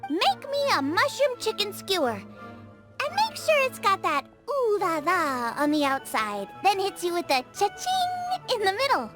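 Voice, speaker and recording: A woman's voice: very high voice, huge pitch swings, measured pace, music in the background, full character voice. A woman voicing an upbeat, bossy young girl chef who gives eager instructions, in a game. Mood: frustrated. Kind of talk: game voice acting. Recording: game soundtrack under voice.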